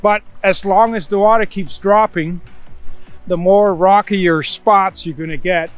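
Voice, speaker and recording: A man's voice: high voice, fairly even intonation, unhurried, soft background music. A man hosting a casual devotional, telling Bible stories in a folksy, conversational way peppered with "you know". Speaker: host, Bible storyteller.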